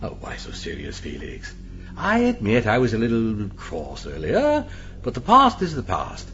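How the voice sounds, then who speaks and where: An actor playing a smug, scheming English gentleman murderer in a radio mystery, suave, condescending and dryly sarcastic. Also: on the low side, wildly swooping pitch, moderate pace, slight background noise. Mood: smooth, condescending. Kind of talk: radio mystery drama acting. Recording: slightly dull, muffled audio.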